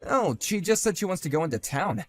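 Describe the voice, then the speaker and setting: A man voicing a calm, sardonic grown man who talks in a level, dry and cutting way, in a one-man voiced drama. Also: sits high, a little fast.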